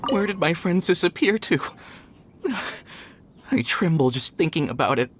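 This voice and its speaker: Nervous, higher-voiced frightened miner. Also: male voice, animated, a bit bright. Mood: fearful. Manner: nervous, halting. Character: frightened, trembling male miner, anxious and distraught. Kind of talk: video game voice acting.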